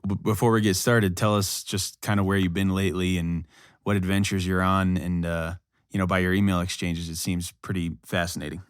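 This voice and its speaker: A man's voice: low voice, level tone, a little fast, clean tone, no echo, studio quality. A man co-hosting a podcast, interviewing in a casual style.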